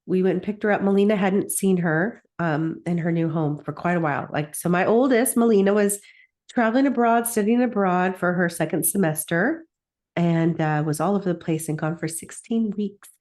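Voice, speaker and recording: A woman's voice: lowish voice, moderate pace, darkish tone. A middle-aged woman chatting casually as a podcast co-host, enthusiastic and gushing, a little sniffly with a cold. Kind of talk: casual chat.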